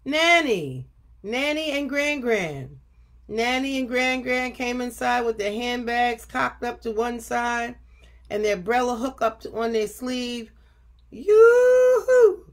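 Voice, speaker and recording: An older woman tells a picture-book story aloud in a somewhat high voice. Her delivery is expressive and deliberate, stressing key phrases as a storyteller does for children. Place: home office room indoors. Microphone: webcam at desk distance.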